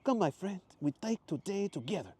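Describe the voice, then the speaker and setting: A man voicing an earnest young foreign traveller who speaks slowly and formally. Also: high-pitched, very animated, mellow, breathy edge, pitched above the performer's own voice, heavily acted. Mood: eager. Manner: slow, earnest, formal phrasing.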